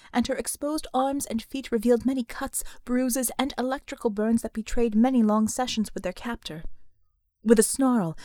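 High-pitched woman narrating dramatic fiction, dry sound. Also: a little mellow, no room sound. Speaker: audiobook narrator.

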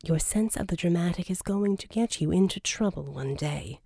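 A cool female assassin for hire voiced by a woman reader from a storybook. She is sardonic and unimpressed, speaking in a soft, mellow voice with a close, dry sound. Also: fairly low pitch, moderate pace, vocal fry, slightly rough, no room sound, voice pushed down. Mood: wry, unimpressed.

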